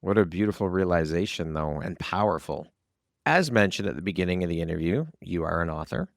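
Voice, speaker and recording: A man with a low, creaky voice hosts an interview in a conversational, encouraging manner. The sound is dry and close. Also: fairly quick, dry recording. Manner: affirming, encouraging. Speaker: podcast host.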